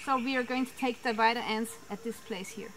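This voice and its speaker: A woman's voice: on the high side, fairly even intonation, fairly quick. A woman in her thirties chatting casually as host of an outdoor vlog. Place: outdoors in dense jungle by a river. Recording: clear insect, bird and forest noise.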